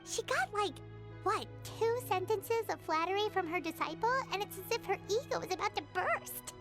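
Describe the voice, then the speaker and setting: A super high squeaky little fairy kid, cheeky and cutesy game sidekick, loud music behind. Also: female voice, normal speed. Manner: squeaky, cutesy, refers to herself by name. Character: tiny, chirpy, cheeky childlike floating fairy girl. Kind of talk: video game voice acting.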